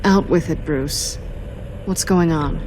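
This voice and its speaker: A woman's voice: deep voice, slow pace, noisy background. A woman playing a cool, self-assured female thief in a video game, with a dry, teasing, guarded way of talking. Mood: sly, probing. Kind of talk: naturalistic video game acting.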